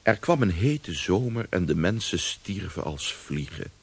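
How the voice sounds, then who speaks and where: A man playing a grave storyteller, narrating a historical radio drama in a measured, dramatic way. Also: deep voice, very animated, gravelly, breathy edge, no echo, heavily acted.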